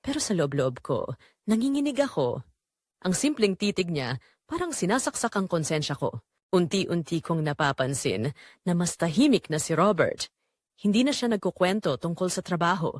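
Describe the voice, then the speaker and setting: A woman's voice: fairly low pitch, sing-song, moderate pace, dry recording, clean studio sound. A woman narrating a scripted dramatic story in the first person.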